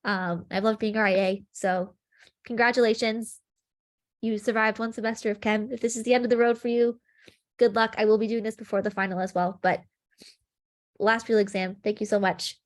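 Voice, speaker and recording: A woman's voice: mid-range voice, level tone, moderate pace. A young woman leading a chemistry review session over a video call, sniffly and congested, explaining in a friendly way with lots of ums. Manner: friendly, filler-heavy explaining with frequent ums.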